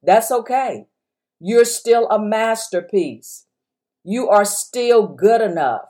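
A woman preaching with a strong, full voice at an ordinary pitch. She exhorts her listeners and drives each point home by repeating it. Her pace runs slow, then quick. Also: animated, warm tone. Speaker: pastor. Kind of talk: sermon podcast.

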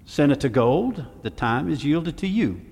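A man playing a courteous, methodical senator at an inquiry in an audio drama, formal and measured. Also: a little high, sing-song, darkish tone, heavily acted. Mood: polite, procedural. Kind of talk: fiction podcast audio drama. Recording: echoey hall with long reverb.

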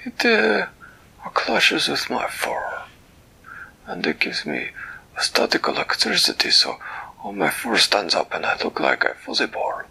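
A man voicing an excitable furry male creature king who is fussy about crowns, with high, hesitant, drawn-out exclamations. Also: gravelly, breathy edge, whispered, full character voice, rough recording.

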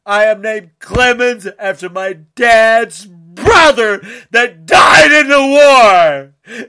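A man from the United States, crying and shouting through an angry rant. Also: extremely high pitch. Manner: shouting, tearful, ranting. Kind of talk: angry political rant to camera.

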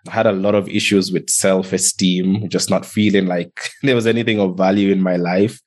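A man's voice: deep voice, steady tone, normal speed. A young man from Antigua, a podcast guest telling his life story in a reflective way, often chuckling. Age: young adult. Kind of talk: casual interview storytelling.

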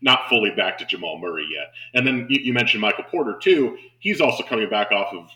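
A man's voice: on the low side, animated, fast, sharp tone, rough recording. A man hosting a sports show, chatting casually and enthusiastically as he analyses basketball. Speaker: sports podcast host and basketball broadcaster.

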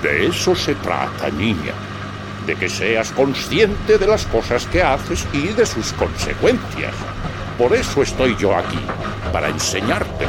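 A man's voice: mid-range voice, huge pitch swings, a little slow, loud music behind the voice. A man playing a grumpy, self-important talking bar of soap who lectures in a children's radio play. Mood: grumpy, lecturing.

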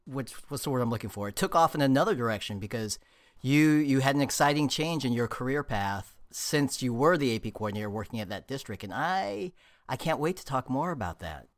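A man hosts an interview in an easy conversational way. He sometimes pauses to search for the right word before moving on. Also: medium pitch, lively intonation. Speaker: podcast host. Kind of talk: interview hosting.

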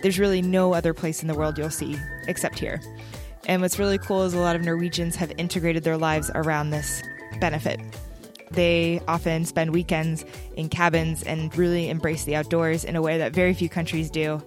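A woman's voice: low voice, flat delivery, moderate pace, over music. A young adult woman hosting a travel podcast, chatting casually and asking her guest questions. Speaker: podcast host.

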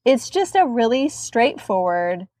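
A woman's voice: fairly high pitch, big pitch swings, measured pace, a little mellow, no room sound, clean studio sound. A woman with a cold and a nasal voice, telling a self-mocking kitchen story in casual chat. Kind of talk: casual chat about cookbooks.

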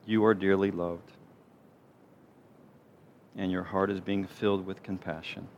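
Low-voiced man leading a gentle guided meditation. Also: level tone, dull audio. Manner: gentle, unhurried, soothing delivery with pauses. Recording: clear background noise, low rumble.

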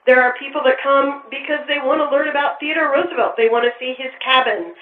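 A woman's voice: high voice, fast. A woman speaking as a park official in an interview, explaining things in an orderly way. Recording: echoey, tinny phone line.

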